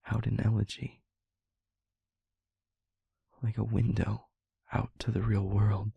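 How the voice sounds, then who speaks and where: A man playing a wounded, sorrowful demon in an intimate audio roleplay, speaking slowly and solemnly in a husky, breathy near-whisper with halting short phrases. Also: deep voice, warm tone, dry recording, studio quality, exaggerated voice. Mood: hushed, haunted. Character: wounded, haunted male demon confessing with tender sorrow.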